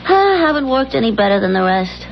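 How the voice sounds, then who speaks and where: A woman's voice: on the high side, sing-song, fairly quick, creaky, a little hiss or hum. An actress playing a determined, prodding wife who eggs on her husband, in 1970s radio drama style. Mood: resolute, coaxing. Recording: dull 1970s radio recording.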